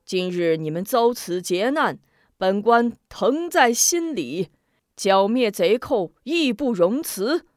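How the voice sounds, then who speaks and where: A woman voicing a two-faced male county magistrate who wails loudly and speaks in a pompous official manner. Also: mid-range voice, very animated, takes it slowly, no echo, studio quality. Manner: loud, weepy, pompous official wailing.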